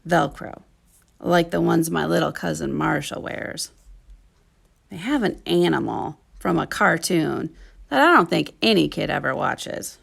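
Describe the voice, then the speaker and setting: A woman reads a children's story aloud with expressive, deliberate phrasing. Her voice is heavily creaky and she takes her time over the sentences. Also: deep voice. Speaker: teaching artist reading a storybook. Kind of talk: scripted children's story narration.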